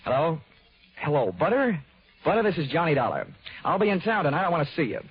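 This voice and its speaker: Hard-boiled, wisecracking American investigator. Wry and punchy, animated intonation, old radio show with muffled fidelity. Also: male voice, on the high side, fast, slightly rough. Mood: breezy, confident. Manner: wry, flippant, punchy delivery. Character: cocky, wisecracking male insurance investigator, hard-boiled detective. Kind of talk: old-time radio detective drama acting. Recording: dull vintage radio recording.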